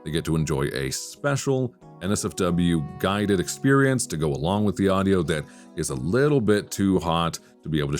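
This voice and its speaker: A man hosting a podcast in a late-night radio chat style, speaking in a measured, articulate and thoughtful way. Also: deep voice. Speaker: podcast host; voice actor.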